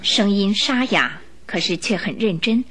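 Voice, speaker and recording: A woman's voice: medium pitch, animated, measured pace, a bit bright. A woman narrator telling a scripted story for a radio drama in a dramatic storytelling style.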